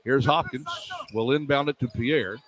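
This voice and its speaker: A man calling live basketball play-by-play for radio in clipped running descriptions that can rise into excited calls. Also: medium pitch, normal speed. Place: indoor arena at a live game. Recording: live radio broadcast with arena noise. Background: arena noise.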